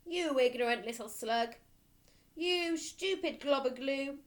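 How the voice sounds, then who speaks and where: A woman's voice: high-pitched, takes it slowly, voice pushed up. A woman voicing a giant, bullying headmistress who barks and bellows contemptuous commands in a storybook reading. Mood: furious. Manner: barking, bellowing, commanding, insulting.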